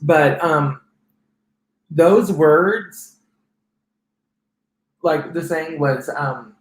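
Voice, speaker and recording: Soft-voiced man in an echoey room. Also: a little high, drawn-out words, a little hiss or hum. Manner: soft feminine-sounding voice; rambling, many restarts and fillers. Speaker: interview guest. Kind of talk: personal testimony in conversation.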